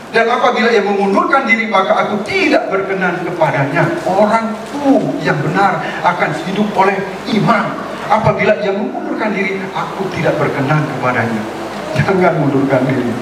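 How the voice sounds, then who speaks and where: A male pastor preaching a sermon in a lively way, asking the congregation questions and acting out quoted voices. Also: a little high. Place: church hall. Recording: echoey hall, distant mic, noise.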